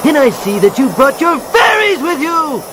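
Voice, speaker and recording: An actor playing a manic, power-mad cartoon villain in a video game, ranting boastfully and hysterically. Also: male voice, very high-pitched, big pitch swings, moderate pace, very noisy. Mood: gloating.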